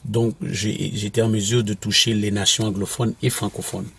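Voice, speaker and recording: Gravelly low-voiced man, halting speech. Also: level tone, fairly bright tone, no room sound. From Quebec. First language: English. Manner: halting, many restarts and fillers. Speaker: apostolic minister and author. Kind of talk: interview answers.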